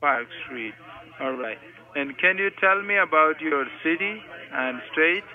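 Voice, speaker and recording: A man's voice: fairly high pitch, animated, measured pace. A man working as a telemarketing caller, asking scripted sales questions in a formulaic, polite way with frequent sirs, heard through a phone. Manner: formulaic, polite, repeatedly saying sir. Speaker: telemarketing caller posing as senior supervisor. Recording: tinny speakerphone call audio.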